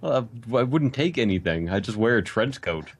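A young man with a Canadian accent co-hosting a casual podcast, loose and quick to laugh. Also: on the low side, very animated. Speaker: podcast co-host.

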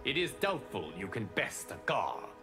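An actor playing a calm, solemn god in a video game, measured and formal. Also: male voice, medium pitch, soft background music. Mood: grave.

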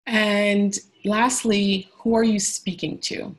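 A woman's voice: medium pitch, little pitch movement, slow pace. A young woman presenting a webinar on a video call, chatty and full of "um"s. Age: young adult. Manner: chatty, many "um"s, speaks of youth speaking to youth. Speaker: webinar presenter, communications manager. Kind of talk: presenting slides, then leading discussion. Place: indoor room at home. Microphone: earbuds on video call.

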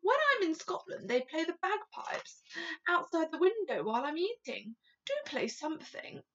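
Woman playing a high-voiced, composed, commanding queen. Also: very animated, moderate pace, fairly bright tone, lo-fi sound, full character voice. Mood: gracious. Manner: formal, commanding. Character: regal Queen, composed, commanding, politely curious adult woman.

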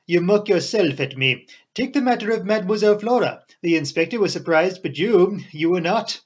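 Guy reading does a genial fussy detective, florid formal wording, pitched up and husky. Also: lively intonation, moderate pace. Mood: genial, teasing. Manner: florid formal foreign-flavoured phrasing. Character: fussy foreign male detective, genial and sly. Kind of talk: audiobook character voice.

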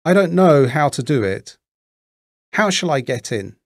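A man's voice: on the high side, very animated, a little slow, dry recording, clean studio sound, pitched above the performer's own voice, heavily acted. A man voicing a poor little girl in a storybook, earnest and pleading. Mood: feigned helplessness. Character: poor little girl, frightened but brave sister. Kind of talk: storybook character voice.

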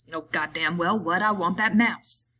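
A woman voicing a gruff, exasperated working man who gives brusque orders in rough rural slang, in an audiobook story. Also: lowish voice, moderate pace, bright, forceful tone. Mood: stern demand. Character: gruff, bossy, exasperated working man minding his friend.

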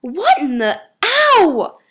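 A high-voiced teenage girl, played by a woman reader, indignant and easily panicked. She exclaims in disbelief, as a character in a dramatic story. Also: lively intonation, normal speed, fairly bright tone. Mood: startled. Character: startled, indignant, panicky sixteen-year-old girl.

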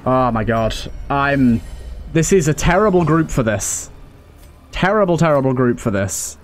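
A man's voice: mid-range voice, lively intonation, measured pace, faint music behind. A man giving casual, informal let's play commentary, thinking aloud with some mild swearing. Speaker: gaming video host.

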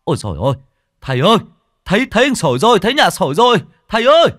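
A man voicing an excited young village man who shouts out in a told ghost story. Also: sits high, rapid-fire, no room sound, clean studio sound, pitched above the performer's own voice.

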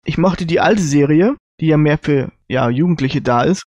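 A man's voice: fairly high pitch, normal speed, a little mellow, no echo, studio quality. A man co-hosting a casual panel chat about audio dramas, hesitantly searching for his words as he gives opinions. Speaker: podcast co-host.

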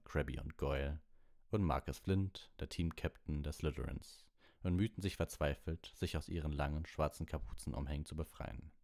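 A man's voice: very deep voice, a little mellow. A man narrating a storybook as an audiobook reader.

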